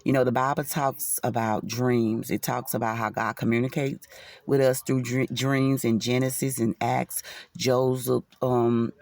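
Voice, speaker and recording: A man's voice: mid-range voice, level tone, unhurried, creaky, a little mellow, no room sound. A man with a stuffy nose giving an earnest, preachy spiritual talk to camera, with many fillers and a lot of "you know.". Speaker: faith vlogger.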